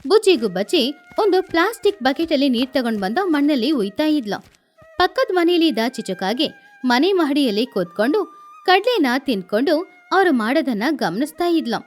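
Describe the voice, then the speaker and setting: A woman's voice: high-pitched, sing-song, brisk, soft background music. A woman narrating a scripted children's story.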